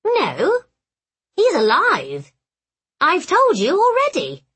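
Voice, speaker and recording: A woman voicing a fussy, brisk but affectionate little male hobgoblin in an audiobook. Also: very high-pitched, wildly swooping pitch, a bit bright, pitched above the performer's own voice, heavily acted. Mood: exasperated. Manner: irritated, scolding yet fond. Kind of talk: audiobook character voice.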